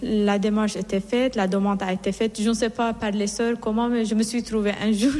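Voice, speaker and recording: A middle-aged nun from Iran recounts her calling with earnest, reflective warmth, circling back and restarting her phrases as she goes. Her voice sits at an ordinary woman's pitch. A long hall reverb trails behind every word. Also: level tone, brisk. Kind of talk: personal testimony in an interview.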